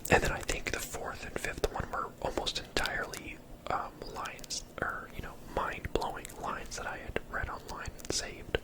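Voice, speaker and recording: A man whispering softly in a casual, rambling, self-deprecating ASMR commentary on his own videos. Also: moderate pace. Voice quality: soft breathy whisper.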